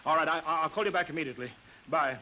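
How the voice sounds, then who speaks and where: An American actor playing an anxious, authoritative air base project chief in an urgent, pleading 1950s radio drama style. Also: male voice, fairly high pitch, fast, highs cut off, close to an everyday voice. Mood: tense, insistent. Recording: noisy vintage radio drama recording.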